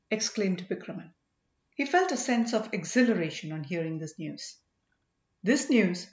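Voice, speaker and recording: A woman reading a story aloud as scripted audiobook narration. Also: mid-range voice. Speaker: audiobook reader. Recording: roomy, slightly dull home recording.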